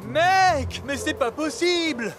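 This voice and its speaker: An actor playing a grumbling, wisecracking young football player in a French-dubbed cartoon, slangy and exasperated. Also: male voice, very high-pitched, expressive, takes it slowly, music in the background, full character voice.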